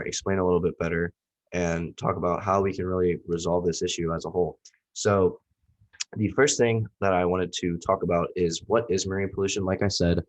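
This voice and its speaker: A man's voice: low-pitched, a little mellow, rough recording. A young man with a cold, giving a casual, rambling class presentation with lots of fillers. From Southwest Florida. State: nasal from a cold. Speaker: student presenter.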